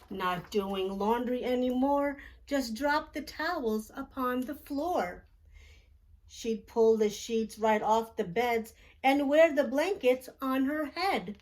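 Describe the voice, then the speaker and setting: A woman with a fairly high voice reads aloud for young children. She takes her time, phrasing each part deliberately and expressively, as a storytime host does. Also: darkish tone, poor audio. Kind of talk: children's picture book read-aloud.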